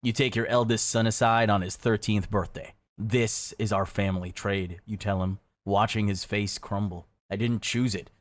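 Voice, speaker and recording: A man reading dramatic scripted second-person narration in a grave, measured storytelling manner. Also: on the low side, fairly even intonation. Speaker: video narrator. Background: background music.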